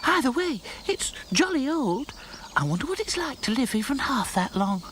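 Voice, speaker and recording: A man voicing a timid, gentle girl rag doll who speaks softly and anxiously. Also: very high-pitched, sing-song, normal speed, a little hiss or hum, pitched above the performer's own voice, heavily acted. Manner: soft and anxious.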